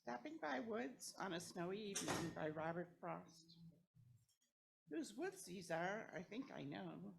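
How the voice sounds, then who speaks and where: A woman's voice: fairly low pitch, sing-song, unhurried, gravelly, background noise. A woman reading a poem aloud at a worship service, recorded live among an audience. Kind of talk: poetry recitation.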